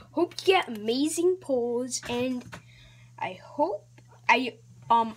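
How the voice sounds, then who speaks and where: Slow, rambling woman vlogger. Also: on the high side, a little hiss or hum, rough recording. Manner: rambling, hesitant, lots of ums and restarts. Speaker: channel host. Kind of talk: casual card-opening vlog.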